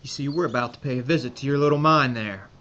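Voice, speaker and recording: An actor playing a brisk, authoritative American army major with an American accent in an audio drama. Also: male voice, medium pitch, a bit bright, close to an everyday voice. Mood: brisk, commanding. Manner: authoritative, terse. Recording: dull lo-fi recording with faint noise.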